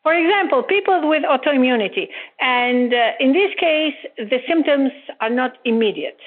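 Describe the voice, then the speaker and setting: A woman from Italy with an Italian accent, calling in with a question and pausing to search for words. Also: high voice, lively intonation. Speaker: caller. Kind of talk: call-in question on a podcast. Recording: tinny phone line.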